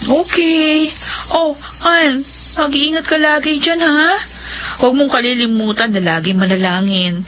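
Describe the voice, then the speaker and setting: A woman's voice: sits high, a little slow, fairly bright tone, slight background noise. Earnest married woman, muffled broadcast. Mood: affectionate. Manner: earnest, polite. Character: earnest, faithful, hardworking married working woman. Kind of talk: radio drama acting and narration.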